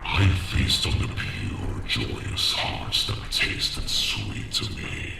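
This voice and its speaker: An extremely deep, heavily creaking dragon, speaks slowly and with grand menace. The voice is bitter and vengeful, echoing strongly as in fantasy audio drama. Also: male voice, big pitch swings, noisy background, heavily acted. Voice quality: deep gravelly growl. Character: vengeful, embittered ancient male dragon. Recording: roomy, echoing, distant voice.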